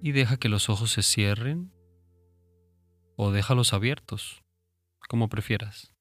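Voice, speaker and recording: Male meditation guide, low voice, calm and soothing, unhurried pauses between instructions, dry sound over music. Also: no room sound.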